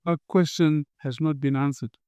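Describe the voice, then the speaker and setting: A man working as a tour guide, explaining sites slowly with many fillers. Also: a little high, steady tone.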